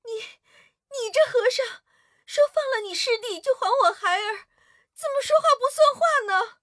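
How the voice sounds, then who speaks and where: 一位男子在故事中扮演一位气恼的年轻公主，嗓音捏得尖细。. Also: male voice, very high voice, a little slow, bright, forceful tone, no room sound, clean studio sound, voice pushed up, full character voice. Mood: indignant. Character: indignant young princess.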